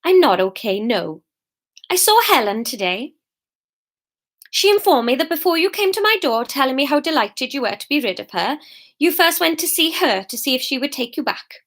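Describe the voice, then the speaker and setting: A woman's voice: high voice, big pitch swings, normal speed, fairly bright tone, full character voice. A woman voicing a fierce, wounded shop worker with a Welsh accent in an audiobook. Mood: angry, cold. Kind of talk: audiobook character dialogue.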